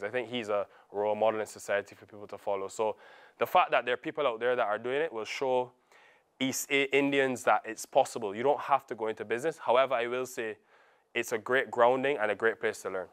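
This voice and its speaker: A man's voice: fairly low pitch, clear, resonant tone. A man from Barbados with a Barbadian accent, speaking reflectively as an interviewee in a documentary.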